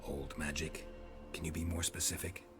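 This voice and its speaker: Very deep, gruff monster hunter. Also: male voice, normal speed. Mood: probing. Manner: deadpan, terse, sardonic. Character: gruff, laconic monster hunter, adult man, dry and impatient. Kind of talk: understated fantasy game acting. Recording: music behind the voice.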